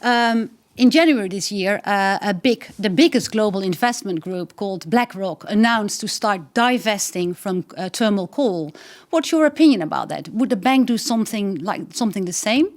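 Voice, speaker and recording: A woman's voice: mid-range voice, expressive, moderate pace. A woman interviewer asking questions in a webinar, hesitant, with many fillers.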